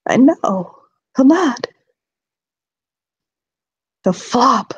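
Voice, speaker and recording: A woman's voice: fairly high pitch, animated, drawn-out words, husky, no echo, dull audio. A woman narrating her character in casual tabletop roleplay, rambling, swearing freely and laughing often. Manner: profane, giggly, rambling.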